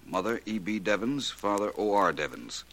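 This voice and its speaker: A gentleman detective in a 1940s radio mystery, a man with a lowish voice that moves through wide, lively inflections. He is courteous and self-assured, with a bright, forceful edge as he probes. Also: normal speed, slight background noise. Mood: absorbed, methodical. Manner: polished, probing, theatrical.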